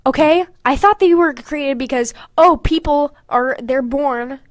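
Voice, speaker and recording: A woman's voice: high voice, unhurried, dry recording, studio quality. A young woman podcast host casually reading aloud with comedic commentary, swearing freely and mocking her own material in exasperated asides.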